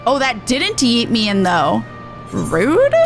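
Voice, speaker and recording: A woman's voice: fairly high pitch, big pitch swings, slow pace, vocal fry, soft background music. A woman streaming a video game, chatting casually and reading quest text aloud.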